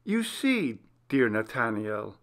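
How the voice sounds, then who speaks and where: A man reading a classic Gothic story aloud as scripted narration, in a measured, formal literary manner. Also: medium pitch, big pitch swings, fairly bright tone. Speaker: audiobook reader.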